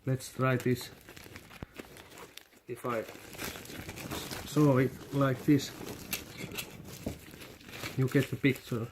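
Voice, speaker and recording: A Finnish man vlogging a toy unboxing in halting non-native English, hesitant and repeating his words. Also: mid-range voice, flat delivery, background noise. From Finland. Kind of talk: casual unboxing commentary.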